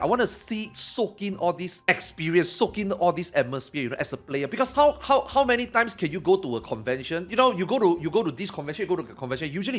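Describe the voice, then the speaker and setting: A man in his forties, a streamer, talking fast and excitedly in a casual podcast chat, often repeating himself. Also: a little high. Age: 40s.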